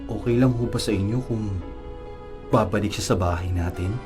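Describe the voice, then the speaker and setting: A man's voice: fairly low pitch, normal speed, over music, close to an everyday voice. A man playing a respectful, worried young son with a Filipino accent in a radio drama. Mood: hesitant, confessing.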